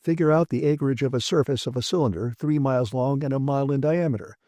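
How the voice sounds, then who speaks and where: A man voicing a gruff, blunt and defiant male maintenance chief in an audiobook, arguing emphatically. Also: mid-range voice, steady tone, quick pace, warm tone, dry recording, studio quality, close to an everyday voice. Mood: dismissive, insistent. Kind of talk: dramatised audiobook reading.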